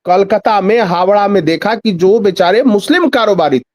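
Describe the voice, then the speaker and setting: High-pitched man giving emphatic political commentary. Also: animated, normal speed, darkish tone, no echo, clean studio sound. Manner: emphatic, repeats phrases, rhetorical questions. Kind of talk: political commentary in a news interview.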